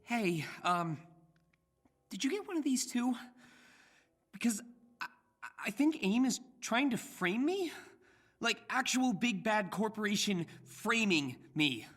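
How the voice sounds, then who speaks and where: An actor playing an earnest, anxious young science intern in a video game, sincere and a little hesitant. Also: male voice, high voice, sing-song, takes it slowly, long pauses, fairly bright tone, full character voice. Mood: frightened. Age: young adult. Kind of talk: naturalistic video game voice acting.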